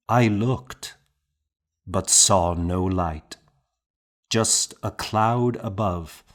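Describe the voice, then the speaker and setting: A man reading a historical novel aloud in a measured, solemn, first-person storytelling manner. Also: deep voice. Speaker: audiobook narrator.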